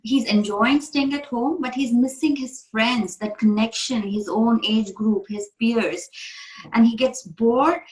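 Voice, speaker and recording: A woman's voice: fairly high pitch, measured pace, darkish tone. Woman from India, host and coach; earnest, emphatic interviewer; roomy, echoey sound. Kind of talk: casual interview conversation.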